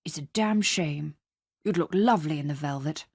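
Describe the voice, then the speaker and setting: Woman acting a sly, coaxing older gentleman. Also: deep voice, normal speed, husky, dry recording, clean studio sound, pitched below the performer's own voice. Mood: conspiratorial.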